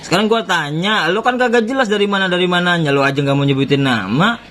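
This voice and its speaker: A man in a heated phone argument, taunting and daring the other side. His voice sits high and he talks with defiant mockery. The recording carries clear background noise throughout. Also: quick pace. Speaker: borrower confronting a debt collector.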